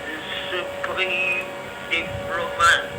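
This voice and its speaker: A man reciting spiritual verse in a halting delivery, a word or two at a time. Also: on the high side, level tone, heavy background noise. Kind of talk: slow recitation of spiritual poetry.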